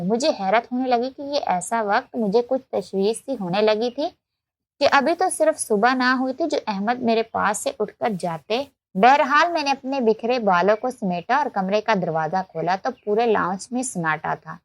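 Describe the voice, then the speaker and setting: Female narrator for scripted emotional fiction, first person, pitched a little high, very dry recording. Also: no room sound. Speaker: story narrator.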